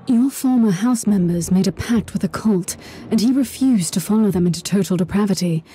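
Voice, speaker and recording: An actress playing a stern, impatient inquisitor in a sci-fi video game, speaking with formal, curt authority. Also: female voice, medium pitch, brisk, warm tone, soft background music, lightly acted. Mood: businesslike. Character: stern, commanding female inquisitor, impatient and humorless.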